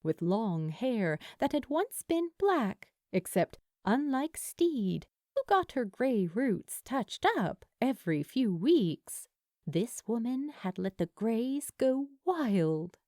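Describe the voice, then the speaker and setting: A woman reading a story aloud as a narrator, in a measured, expressive storytelling manner. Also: fairly high pitch, dry recording, studio quality. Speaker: fan fiction narrator.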